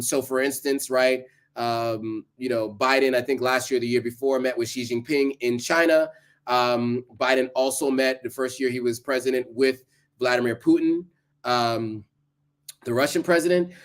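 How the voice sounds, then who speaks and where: A man hosting a live stream, talking through a presentation and answering viewer comments in a casual, halting way with many ums. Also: mid-range voice. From United States. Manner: conversational, many ums and restarts. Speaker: livestream host.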